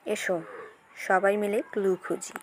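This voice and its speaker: A woman voicing helpful village kid, unhurried, plain reassuring tone, cartoon story, faint noise. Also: medium pitch, level tone, voice pushed down, lightly acted. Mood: reassuring, determined. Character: helpful village children on a cow search. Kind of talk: children's cartoon story acting.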